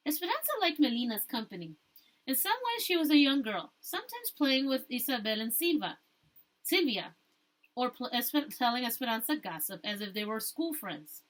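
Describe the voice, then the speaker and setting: Higher-voiced woman teacher reading a story aloud. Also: very animated, a bit bright, poor audio. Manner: stumbles and rereads phrases, pauses to explain to listeners. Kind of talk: storybook read-aloud with explanatory asides.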